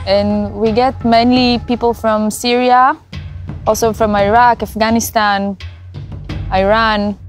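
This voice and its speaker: A young woman doctor, newly graduated, speaking in a measured, deliberate way in an interview. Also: on the high side, little pitch movement. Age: young adult. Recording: faint music bed.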